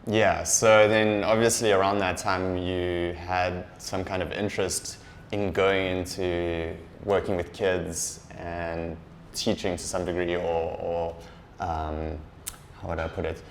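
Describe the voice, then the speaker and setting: A man's voice: low-pitched, little pitch movement, takes it slowly, reverberant, slight background noise. A young man hosting a podcast interview, casual and hesitant, with many "uh"s and restarts. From Durban, South Africa. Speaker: podcast host.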